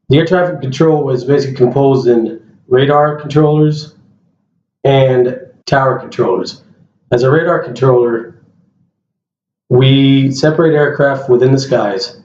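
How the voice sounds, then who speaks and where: A man's voice: mid-range voice, a little mellow. Man giving a briefing in a roomy space. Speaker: air traffic controller giving a briefing. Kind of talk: informational presentation. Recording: roomy, dull lo-fi sound, faint noise.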